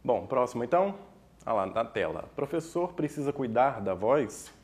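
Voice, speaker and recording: A Brazilian TV host with a mid-range voice who briskly leads the show from one segment to the next. Questions are read out slowly and clearly. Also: mid-range voice, very animated, a little mellow. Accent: Brazilian Portuguese. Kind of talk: hosting a TV segment.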